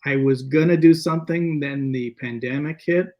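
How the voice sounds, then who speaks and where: A Canadian man talking casually as a filmmaker guest in an interview, plain-spoken and a little halting. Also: on the high side, lo-fi sound.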